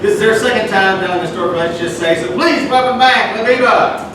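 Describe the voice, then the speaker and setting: A man's voice: high voice, fairly quick, heavy background noise. A man hosting a live storytelling night, introducing the next teller in a casual, self-deprecating way. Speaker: host. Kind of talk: live storytelling show host. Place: comedy theater stage. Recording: roomy live venue, distant mic, loud ambience.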